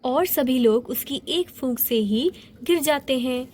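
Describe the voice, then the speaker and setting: A woman narrating a children's doll story in a plain, steady storytelling manner. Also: high-pitched, unhurried.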